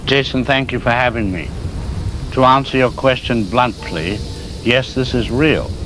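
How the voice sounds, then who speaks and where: A man playing a blunt, grave climatologist as a radio interview guest, explaining in a deliberate, ominous, articulate way. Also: lowish voice, background noise, natural voice. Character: grave, blunt male climatologist warning of catastrophe.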